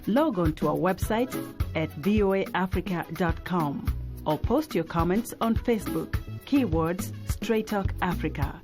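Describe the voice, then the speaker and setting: A woman announcer reading out the show's contact details in a clear, measured way. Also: fairly low pitch, expressive, over music. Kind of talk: scripted announcement.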